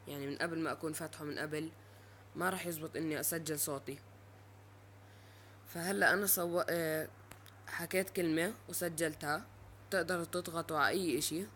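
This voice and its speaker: A male presenter giving an informal, halting step-by-step app tutorial. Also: a little high, bright, forceful tone, a little hiss or hum.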